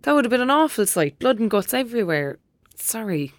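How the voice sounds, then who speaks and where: A woman's voice: fairly high pitch, big pitch swings, a little fast, no room sound. A woman playing a protective Irish friend in an audio drama, coaxing and colloquial in manner. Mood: wry, chatty. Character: girl's protective female friend, secretly her mother.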